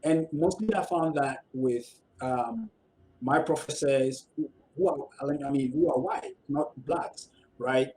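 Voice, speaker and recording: A man from West Africa with a West African accent, speaking as a panelist in a discussion and pausing often on fillers and restarts. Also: fairly high pitch, very animated, darkish tone, a little hiss or hum, rough recording. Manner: hesitant, many fillers and restarts.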